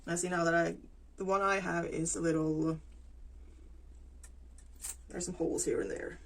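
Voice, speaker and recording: A woman, talking through her crafting casually, thinking aloud with pauses and fillers. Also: lowish voice, level tone, vocal fry. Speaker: planner vlogger. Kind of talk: casual plan-with-me narration while crafting.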